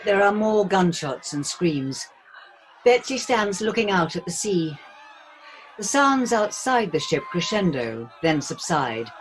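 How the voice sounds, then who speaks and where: A woman's voice: fairly low pitch, big pitch swings, slow pace, a little hiss or hum, poor audio. A woman reading stage directions as scripted narration for a play.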